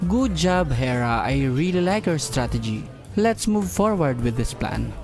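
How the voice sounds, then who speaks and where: A man's voice: medium pitch, lively intonation, measured pace, music in the background. Man acting reserved, secretly smitten Filipino office boss. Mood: businesslike. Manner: businesslike, with smitten slips he covers up. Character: male office boss, reserved but awkwardly flirtatious. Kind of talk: romantic audio drama acting, Tagalog-English mix.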